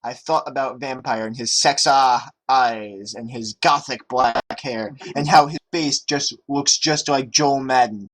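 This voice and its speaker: A man's voice: medium pitch, steady tone, a little slow, hardly any pauses, a bit bright, poor audio. A man doing a comedic, dramatic read-aloud of fan fiction among friends.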